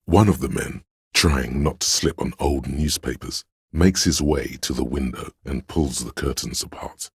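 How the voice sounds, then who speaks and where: A man voicing a brooding, omniscient narrator of weary police life in a detective game, with measured, grave, literary delivery and a gravelly, husky voice. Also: extremely low pitch, no echo, clean studio sound, exaggerated voice. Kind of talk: dramatic literary scripted narration.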